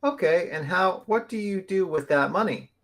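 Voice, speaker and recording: A man questioning a witness as an attorney, formal and persistent, repeating questions deliberately. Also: sits high, lively intonation, fairly bright tone. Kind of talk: formal deposition cross-questioning.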